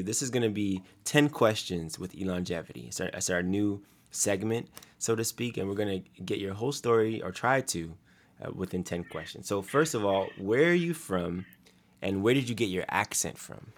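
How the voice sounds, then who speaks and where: A man hosting a podcast interview in an upbeat, casual way with fillers. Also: on the low side. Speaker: podcast host. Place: US East Coast.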